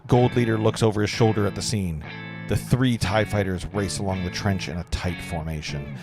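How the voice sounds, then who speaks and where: A man's voice: sits low, normal speed, music in the background. A man narrating a script's stage directions at an informal table read, stumbling over words now and then. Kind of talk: reading screenplay stage directions aloud.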